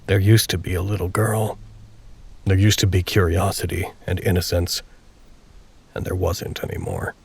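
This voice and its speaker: A man narrating a literary drama podcast as a solemn, reflective storyteller, speaking in a deliberate way. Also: sits low, fairly even intonation, creaky, breathy edge, heavily acted. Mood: somber. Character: solemn, reflective male storyteller of a bleak tale. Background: music with guitar.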